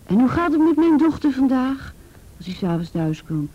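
A woman's voice: high voice, sing-song, normal speed, dull audio. An actress playing a frightened teenage girl in a Dutch radio play, stammering and repeating words as she recounts painful memories. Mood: hesitant, wistful.

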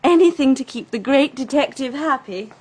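A woman's voice: high-pitched, animated, moderate pace, darkish tone, breathy edge. A woman acting in a radio drama as a breezy, flippant young society lady with an upper-class English accent, airy and drawling. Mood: puzzled, airy. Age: young adult.

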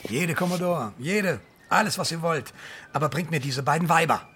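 An actor playing a pompous, vengeful colonial governor in a German radio drama, grandiose and gravelly, savouring his words. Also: fairly high pitch, normal speed, sharp tone, a little hiss or hum. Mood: gloating. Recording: faint music behind.

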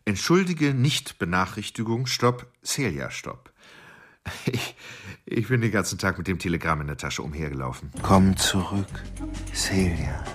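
An actor playing an obsessive, brooding male killer in a radio drama monologue, halting and intense, often sinking toward a whisper. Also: sits low, lively intonation, a bit bright, faint music behind, full character voice. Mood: obsessed.

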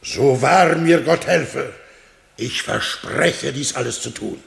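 A man's voice: mid-range voice, lively intonation, takes it slowly, creaky, heavily acted. An actor playing a grandiose man who orates like a ruler, declamatory and solemn, in 1960s German radio play style. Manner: declamatory, solemn, pompous oratory. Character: grandiose, bombastic Irish everyman turned orator and ruler.

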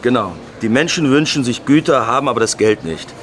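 A man's voice: medium pitch, lively intonation, a little fast, soft background music, close to an everyday voice. A man playing a naive, romantic banker in a radio play, speaking earnestly in a natural, slightly rambling way. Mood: eager, explanatory. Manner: rambling, repeats himself, earnest. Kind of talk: naturalistic radio drama acting.

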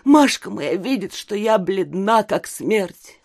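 A woman's voice: medium pitch, animated, slow pace, a little mellow. An actress playing an affected, catty provincial society gossip in a theatrical audio drama, exclaiming and drawing out her words. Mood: gushing, agitated. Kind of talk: stage-like audio drama acting of a literary classic.

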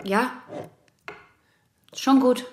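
An actress in a German radio drama playing a guarded, defiant eighteen-year-old patient, terse and skeptical. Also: female voice, very slow, a little mellow, a little hiss or hum. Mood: defiant, sullen.

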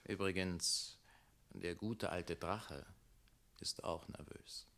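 A low-voiced, probing mayor's son. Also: male voice, steady tone, pushed and bright, full character voice. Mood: confiding. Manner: measured, coaxing, probing with questions. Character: mayor's probing son, smooth, insistent secretary. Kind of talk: German radio play acting.

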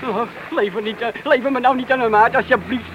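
An actor playing a frightened, shifty small-time crook in a radio play, pleading and stammering in slangy speech. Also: male voice, very high-pitched, fast, fairly bright tone, muffled recording, a little hiss or hum.

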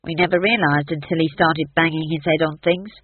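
Excitable dwarf guy, gruff and blurty, pitched up high, a man putting him on, tinny phone sound. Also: brisk, no echo. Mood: matter-of-fact. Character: excitable male dwarf playwright.

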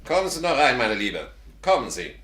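An actor playing a cold, calculating, mock-polite villain in a 1950s German radio drama. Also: male voice, mid-range voice, big pitch swings, normal speed, fairly bright tone. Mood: mock-polite, threatening. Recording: echoey room in old radio recording.

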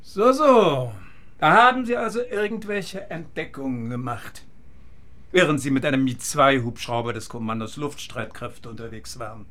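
A man playing an older East German general, sardonic, condescending and deliberate, in a radio drama. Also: medium pitch, lively intonation, fairly bright tone, lightly acted. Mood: mocking. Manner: mocking, repetitive, deliberate military speech. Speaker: actor.

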